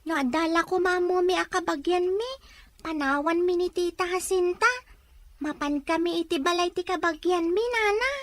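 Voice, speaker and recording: Very high-pitched, plaintive little child. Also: female voice, moderate pace. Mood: defensive. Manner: plaintive, complaining. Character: young hungry child, plaintive and outspoken orphan. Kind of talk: Ilocano audio drama acting.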